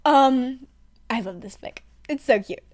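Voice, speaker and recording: Excitable young woman with a high-pitched voice. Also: a little slow. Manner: excitable, slangy, swearing, emphatic. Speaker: podfic reader. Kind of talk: casual rambling chat to listeners.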